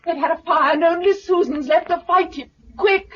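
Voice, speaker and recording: Female, anxious excitable survivor, very high voice, 1950s radio drama, dull hissy recording. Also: normal speed, recorded from a distance. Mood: alarmed.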